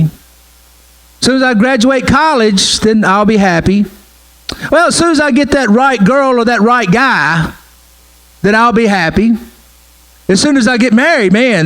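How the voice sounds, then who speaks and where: A man's voice: sits high, fairly bright tone, rough recording. A middle-aged man preaching a sermon in a conversational way, repeating his phrases and often saying "man" and "right?". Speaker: pastor.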